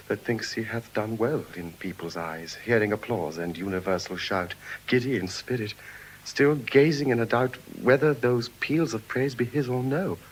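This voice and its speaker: An actor with an English RP accent playing an earnest, romantic young Venetian lord in classical Shakespearean verse, with measured theatrical delivery. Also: male voice, fairly low pitch, natural voice, poor audio. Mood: humbly hopeful. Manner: measured, theatrical, deliberate declamation. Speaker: stage actor. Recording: hissy vintage audio drama recording.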